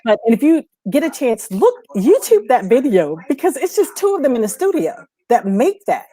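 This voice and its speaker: Animated lady co-host, slangy music chat, pitch goes up and down a lot. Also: moderate pace.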